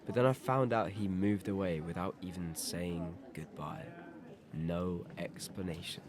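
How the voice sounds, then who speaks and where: A man's voice: low voice, steady tone, measured pace, a crowd in the background. A man playing a sincere, reflective teenage boy in an audio drama. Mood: sad, reflective. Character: Peter, wistful teenage boy attached to his best friend.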